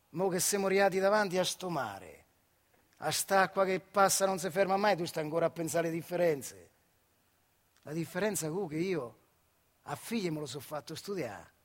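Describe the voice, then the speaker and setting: An actor with a central Italian Marche dialect playing old factory workers, wistful and bickering comrades, in a theatrical radio monologue with an earthy, colloquial manner. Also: male voice, a little high. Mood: wistful, plain. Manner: colloquial, earthy, shifting between voices in dialogue.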